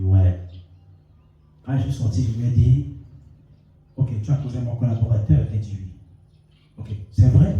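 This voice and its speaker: A male pastor with a cold, preaching a sermon and telling a story with lively rising and falling emphasis. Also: mid-range voice, dull audio. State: has a cold. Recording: echoey hall, mic at a distance.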